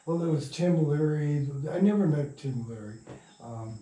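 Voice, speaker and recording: Older male, higher-set mellow voice, meandering halting storyteller in a casual interview, roomy sound. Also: animated. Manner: halting, meandering, trailing off mid-thought. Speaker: interviewed radio play writer and producer. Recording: roomy, distant from the mic.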